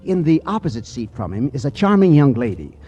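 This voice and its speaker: A man's voice: medium pitch, very animated, brisk, warm tone, husky, lo-fi sound. A man announcing and narrating in a formal, showmanlike 1940s radio style, reading from a script.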